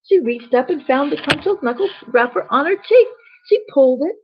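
Woman, bedtime storyteller, somewhat high voice, husky, expressive, exclamatory, muffled lo-fi recording. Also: normal speed, background noise. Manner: theatrical, exclamatory, chatty asides to children. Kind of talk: expressive children's story reading with asides to listeners. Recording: dull, lo-fi sound.

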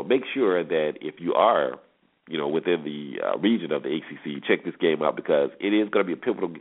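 An American man hosting a sports show, giving conversational game previews and commentary, repeating himself for emphasis. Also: low-pitched. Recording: tinny phone-like narrowband sound.

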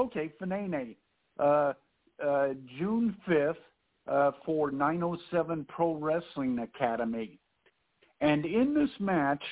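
A man co-hosting a podcast, interviewing a guest in a stiff, formal way with long questions. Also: on the high side. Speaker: podcast co-host. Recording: tinny phone line.

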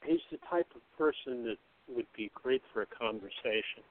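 Male guest, average pitch, informal chat, narrow phone-grade sound. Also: moderate pace, no room sound. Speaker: cast member being interviewed. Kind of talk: casual chat. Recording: thin phone-like call audio.

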